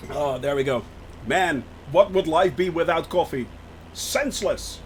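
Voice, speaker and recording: A man from the Netherlands with a Dutch accent, chatting casually and excitedly as a streamer while he flies a simulator, laughing often. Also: on the high side, measured pace, slight background noise.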